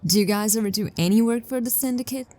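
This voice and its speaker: A woman's voice: medium pitch, quick pace, mellow, close to an everyday voice. A woman playing an impatient, businesslike detective with a dry, curt manner in a sci-fi audio drama.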